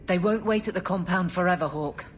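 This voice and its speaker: A woman playing a stern, dutiful female city guard captain in a video game, speaking in an earnest, firm, measured way. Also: on the low side, a little hiss or hum, natural voice. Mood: dutiful, concerned.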